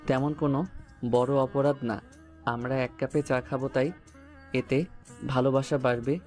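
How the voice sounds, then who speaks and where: A man acts a young husband madly in love, tender and earnest. His mid-pitched voice speaks in a romantic audio drama with faint guitar music behind it. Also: level tone, a little slow, a little mellow, lightly acted.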